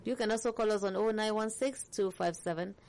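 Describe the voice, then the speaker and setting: A woman's voice: mid-range voice, fairly quick, darkish tone. Chatty woman co-host. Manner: chatty, teasing, fills gaps with mm and yeah. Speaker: radio call-in co-host. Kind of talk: live radio phone-in chat.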